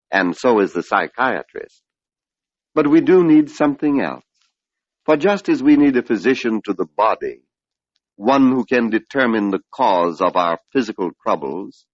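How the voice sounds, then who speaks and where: An older man giving a measured, oratorical spiritual lecture on an old radio broadcast, posing rhetorical questions. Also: on the low side, dry recording. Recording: dull lo-fi vintage radio recording.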